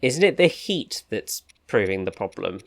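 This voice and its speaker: A man with a naturally dry, deadpan voice, giving directions and chatting over a game. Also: on the low side, unhurried, creaky, slight background noise. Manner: guiding, precise, rambling over-explainer. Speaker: co-commentator. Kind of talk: casual let's-play chat.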